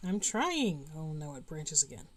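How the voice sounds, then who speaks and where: A woman's voice: low voice, sing-song, moderate pace. A woman giving casual livestream commentary on a video game, with dry, muttered asides. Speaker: game streamer. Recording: faint background noise.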